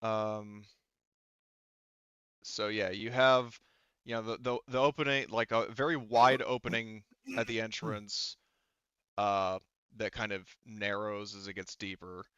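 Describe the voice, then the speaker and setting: A man running a tabletop game as game master, narrating casually in a halting, rambling way full of filler phrases. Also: on the low side, little pitch movement, pushed and bright, clear, resonant tone, dry recording, dull audio. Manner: halting, rambling, frequent "like" and "you know"; casual swearing.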